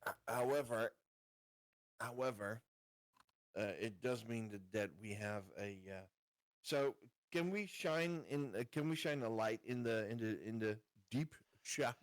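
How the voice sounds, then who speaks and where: A man playing a tabletop game, chatting casually and putting his words together in a deliberate, stop-and-start way. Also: medium pitch, no room sound.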